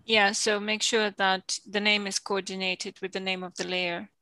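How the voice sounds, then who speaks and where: Bright-voiced woman hosting an online class. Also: medium pitch, flat delivery, normal speed, dry recording. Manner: friendly, loose phrasing with fillers. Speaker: workshop co-host. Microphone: video call.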